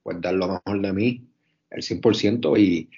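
A man's voice: deep voice, measured pace, dark tone. A young man from Puerto Rico with a Puerto Rican accent, a basketball coach answering interview questions earnestly, often repeating words. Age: young adult. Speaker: basketball coach and video coordinator. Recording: dull, muffled audio.

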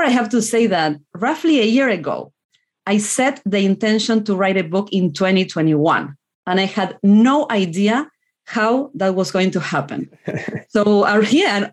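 A woman in her forties from Argentina, a native Spanish speaker with an Argentine Spanish accent, speaking earnestly and reflectively as an author guest. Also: mid-range voice. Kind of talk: casual interview answers.

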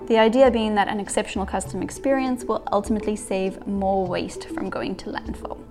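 A woman co-host reading a measured, scripted podcast introduction. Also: medium pitch, music in the background.

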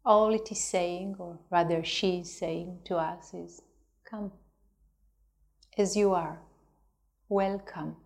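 Very slow-speaking woman guiding meditation. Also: fairly low pitch, fairly even intonation, vocal fry. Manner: gentle, unhurried delivery with long pauses. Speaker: meditation teacher. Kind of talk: guided meditation.

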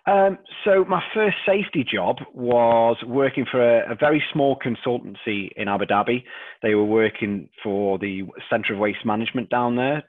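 A man in his thirties with a Liverpool accent, a podcast guest who talks haltingly and repeats words and fillers. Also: mid-range voice, no room sound. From England. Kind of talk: casual interview chat. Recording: dry tinny phone-like line.

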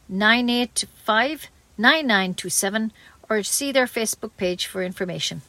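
An Irish woman reads the local news for community radio at an ordinary mid pitch. Her delivery is measured and careful, clearly read from a script, and the sound is close and dry with very little room. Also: fairly bright tone, no room sound.

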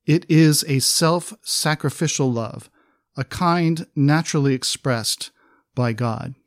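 A man's voice: fairly high pitch, slow pace, dry recording, studio quality. A man co-hosting a conversational Bible study podcast, talking with enthusiasm and reading scripture aloud. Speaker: podcast co-host.

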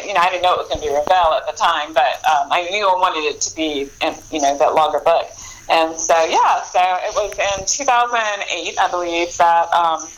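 A woman's voice: fairly low pitch, poor audio. A woman, a novelist answering interview questions in loose, friendly talk full of fillers and laughter. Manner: chatty, laughs often, many fillers and restarts. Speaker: author guest.

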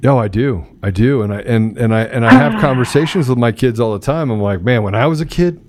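A middle-aged man hosting a casual call-in talk show, telling stories in an animated way and acting out quotes. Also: mid-range voice, fairly quick, slight background noise. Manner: animated storytelling, acts out quotes.

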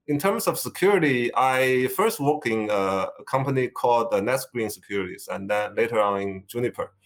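A man's voice: medium pitch, lively intonation, moderate pace. A man in his fifties from China with a Chinese accent, a tech executive answering interview questions with many fillers and restarts. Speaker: guest, tech company executive. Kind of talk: conversational interview.

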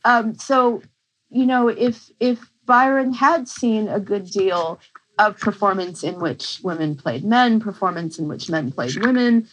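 A woman's voice: medium pitch, measured pace, rough recording. A woman professor giving a conversational academic explanation as an interview guest, with informal asides.

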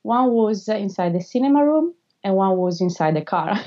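A woman in her thirties from Italy with an Italian accent, answering interview questions as a filmmaker, pausing often with filler sounds and non-native phrasing. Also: mid-range voice, lively intonation, dark tone, dull audio.

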